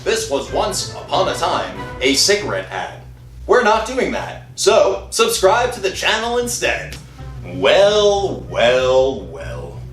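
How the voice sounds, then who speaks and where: A man's voice: on the high side, huge pitch swings, slow pace, a bit bright, far from the mic. A man hosting a horror radio series in a theatrical old-time style, sardonic and mock-sympathetic. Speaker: narrator host of horror series. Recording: roomy echo with music underneath.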